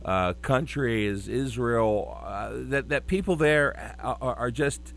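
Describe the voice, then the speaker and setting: A man's voice: mid-range voice, gravelly, fairly bright tone. Encouraging male radio interviewer. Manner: encouraging, measured praise.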